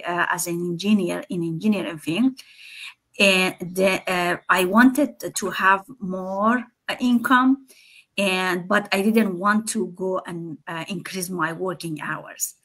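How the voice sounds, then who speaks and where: A woman from Afghanistan with an Afghan accent, co-hosting a livestream and introducing herself in a hesitant, halting way. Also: fairly low pitch, fairly bright tone. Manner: hesitant, halting delivery with frequent pauses. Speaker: co-host, engineer. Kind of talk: casual self-introduction on a livestream.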